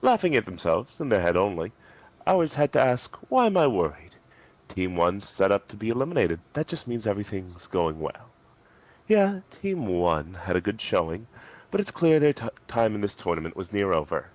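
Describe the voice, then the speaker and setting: A man's voice: fairly low pitch, sing-song, moderate pace, a little mellow. A man narrating a story aloud as a dramatic reading.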